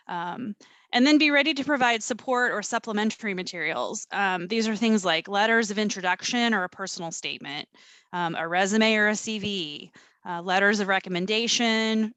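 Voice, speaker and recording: Admissions lady walking thru grad app requirements, says um a lot, mid pitch, dry sound. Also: fairly bright tone, no echo. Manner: frequent ums, explanatory. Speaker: graduate admissions presenter. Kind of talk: informational presentation.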